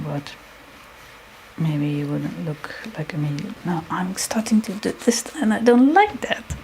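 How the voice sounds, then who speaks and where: A slow-speaking woman with a Dutch accent who thinks aloud while she draws. Her voice sits a little low and carries a gravelly fry. She talks haltingly, mutters to herself and stops to hunt for words, over a faint hiss of room noise. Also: darkish tone, rough recording. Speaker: artist live-streaming while drawing.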